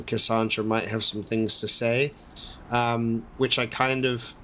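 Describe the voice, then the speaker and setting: Hoarse, chatty gaming commentator man. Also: medium pitch, fairly bright tone, a little hiss or hum. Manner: chatty, rambling, upbeat. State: hoarse from a lost voice. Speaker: let's play host. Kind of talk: casual gameplay commentary.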